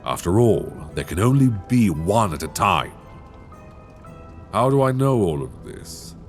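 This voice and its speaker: Male actor as an ancient, towering dragon for an ASMR roleplay; low, unhurried, seductive and menacing, close binaural mic. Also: big pitch swings, faint music behind. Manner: slow, intimate, coaxing and menacing.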